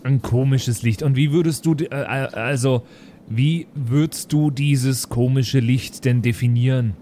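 A man playing a fussy, sceptical maths teacher who speaks haltingly and deliberately in an improvised tabletop audio play. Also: mid-range voice, fairly even intonation, warm tone, a little hiss or hum, natural voice.

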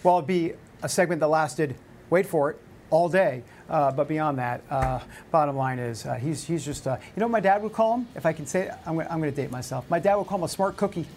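A man's voice: a little high, fairly quick, darkish tone, slight background noise. A middle-aged man from New York hosting a talk radio show, rambling and joking with plenty of filler. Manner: jokey, rambling, lots of filler uhs.

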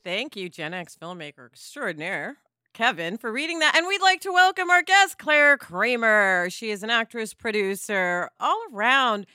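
Bright-voiced woman hosting a podcast. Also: fairly high pitch, expressive, moderate pace, clear, resonant tone, no room sound, studio quality. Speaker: podcast co-host. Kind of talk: conversational podcast talk.

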